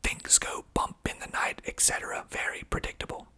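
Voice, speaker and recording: A man speaking in a breathy, husky ASMR whisper, reading aloud and adding deliberate, dry asides. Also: pushed and bright. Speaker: filmmaker hosting his own video.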